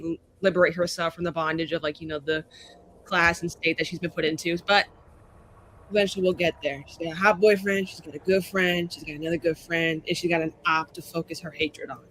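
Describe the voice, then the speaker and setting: A woman talks casually as a player on a game stream. Her voice is bright and keen, her manner gushing, slangy and tongue-in-cheek. A faint layer of noise sits behind her. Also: lowish voice, rough recording. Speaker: player on an actual play show. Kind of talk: casual chat introducing a character.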